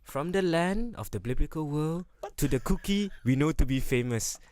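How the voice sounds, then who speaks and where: A man's voice: fairly high pitch, animated, normal speed, a little mellow, slight background noise. A young man hosting a casual student radio show, chatty, laughing often and tripping over his words. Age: young adult. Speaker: radio host. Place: radio studio.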